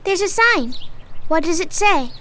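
A woman's voice: very high voice, expressive, a little slow, pushed and bright, a little hiss or hum, full character voice. A woman playing a curious, friendly young girl adventurer in a radio play. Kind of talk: amateur radio play acting.

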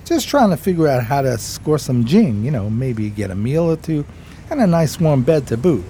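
Eager 1920s New York drifter, slangy, hesitant, mellow tone, very swooping pitch, radio play. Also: male voice, sing-song, moderate pace, a little hiss or hum. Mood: friendly, eager. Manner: slangy, hesitant, colloquial storytelling. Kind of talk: radio play acting and first-person narration.

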